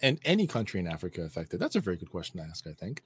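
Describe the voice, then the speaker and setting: A man's voice: low voice, huge pitch swings, rapid-fire, slightly rough. A man in his thirties giving casual commentary as a co-host, in an exasperated, sardonic, blunt manner. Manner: exasperated, sardonic, profane, rhetorical questions. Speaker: show co-host. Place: indoor room, on a video call stream.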